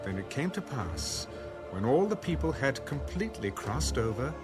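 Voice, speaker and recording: A man narrating a scripted, dramatized audio Bible in a measured, solemn way. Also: mid-range voice, very animated, over loud music.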